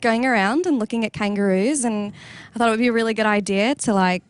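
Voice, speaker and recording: A woman putting on an Australian accent for fun, halting and self-conscious and breaking into giggles. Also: on the high side, close to an everyday voice. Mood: playful.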